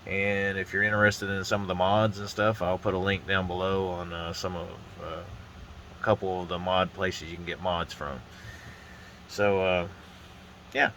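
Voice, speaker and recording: Casual hobby vlogger, low-voiced man, congested and a bit nasal, meandering delivery recorded on a phone. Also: moderate pace, a little hiss or hum. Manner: rambling, relaxed, frequent uh and restarts. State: stuffy nose from a sinus infection. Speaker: model-building vlogger. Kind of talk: casual hands-on build commentary. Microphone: phone on a holder arm.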